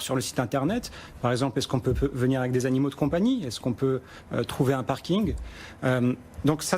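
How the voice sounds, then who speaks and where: A man's voice: mid-range voice, darkish tone, slight background noise. A man, a startup executive, explaining his company's tool in an interview, with frequent restarts. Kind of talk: business interview answers.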